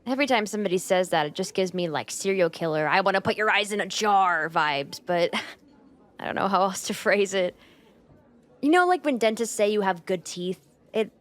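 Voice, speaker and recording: A woman's voice: medium pitch, close to an everyday voice. Shy, flustered young woman, acted by a woman. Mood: awkward, amused. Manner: hesitant, self-conscious rambling with nervous giggles. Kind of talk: roleplay ASMR monologue spoken to the listener.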